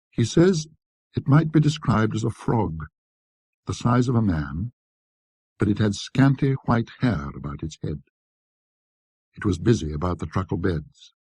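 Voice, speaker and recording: A man narrating a classic ghost story in a precise, scholarly, measured manner, with a husky, raspy voice. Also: low voice, dark tone, no echo. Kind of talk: dramatic scripted storytelling.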